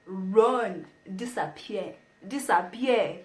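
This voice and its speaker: A woman's voice: medium pitch, animated, extremely slow. A woman putting on a joking Nigerian accent to play a mock-stern woman who barks out repeated, emphatic commands. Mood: dramatic. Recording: echoey room.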